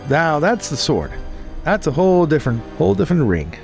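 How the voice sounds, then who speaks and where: A man's voice: fairly high pitch, sing-song, a little fast, vocal fry, soft background music. A man playing a tabletop role-playing game, talking casually and in character.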